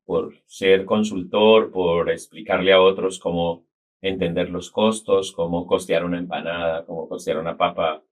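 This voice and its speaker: A middle-aged Colombian man with a Cali-area accent, a guest telling his life story in a measured, reflective way. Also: low-pitched, flat delivery. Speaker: guest, business consultant. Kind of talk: casual interview talk.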